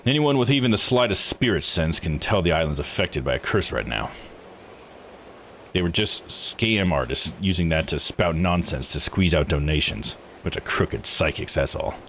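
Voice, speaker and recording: A man voicing a gruff, folksy old island boss in a visual novel, blunt and dismissive. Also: deep voice, animated, moderate pace, fairly bright tone, slight background noise. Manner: blunt, slangy, domineering. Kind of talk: visual novel read-aloud voice acting.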